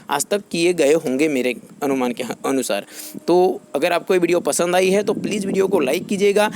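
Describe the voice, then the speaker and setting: A man's voice: fairly high pitch, darkish tone. A man hosting an informal explainer for his channel's viewers, wordy and often repeating himself. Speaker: YouTube channel host.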